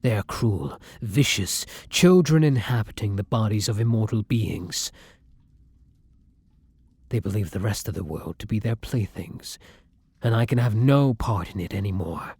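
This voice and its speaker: A man playing a kind, tormented vampire in an intimate roleplay audio drama, murmuring softly and breathily in formal, old-fashioned phrasing. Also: lowish voice, expressive, a little slow, breathy edge, no room sound, full character voice. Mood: bitter, resolute. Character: kind, tormented male vampire, tender and protective lover.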